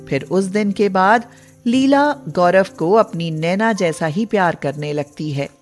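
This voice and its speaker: Female storyteller, medium pitch, unhurried scripted narration, faint music underneath. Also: lively intonation.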